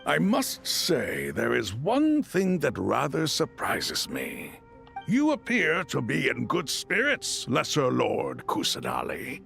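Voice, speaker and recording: A man voicing a shrewd, perceptive ancient being in a video game, gravelly, formal and theatrical. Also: medium pitch, huge pitch swings, slow, a bit bright, exaggerated voice. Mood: probing. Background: background music.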